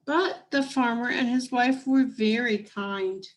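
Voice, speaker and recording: A woman voicing a brave but frightened young girl in a children's storybook, earnest, hushed and deliberate. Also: on the high side. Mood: uneasy. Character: Cassie, brave frightened young girl telling her escape.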